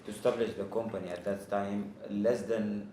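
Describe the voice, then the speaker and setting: A man's voice: on the low side, steady tone, normal speed, mellow, slight background noise. A man hosting an interview, introducing his guest formally and asking questions. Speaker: interview host. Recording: roomy echo.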